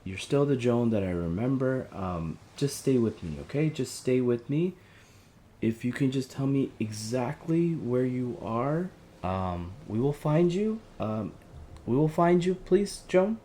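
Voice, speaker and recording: A man playing a gentle, anxious helpline counsellor in an audio drama, halting, earnest and reassuring. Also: medium pitch, animated, natural voice. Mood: worried, pleading. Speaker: helpline operator. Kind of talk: audio drama phone-call acting.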